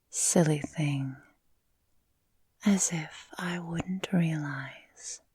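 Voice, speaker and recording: Woman acting slow, whispery seductive vampire woman. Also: low-pitched, warm tone, exaggerated voice. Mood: teasing menace. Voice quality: breathy, mostly whispered. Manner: slow, deliberate, theatrical, teasing. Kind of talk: ASMR roleplay, intimate monologue. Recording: roomy sound with long reverb.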